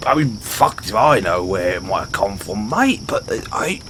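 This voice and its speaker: Man playing a halting, animated British orc barbarian. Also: lowish voice, slight background noise. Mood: exasperated. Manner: halting, stammering, blunt and self-deprecating. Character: gruff but sheepish, dim orc barbarian adventurer, adult male. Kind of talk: fantasy audio roleplay, speaking to a companion. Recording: outdoor night ambience with crickets.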